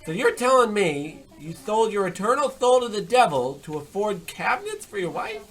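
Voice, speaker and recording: A man playing a cocky, boastful diner chef and war veteran in a comedic radio play, brash and quick to butt in. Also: high-pitched, sing-song. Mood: scornful, bragging. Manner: brash, bragging, interrupting, calls people pal. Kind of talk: comedic old-time radio play acting.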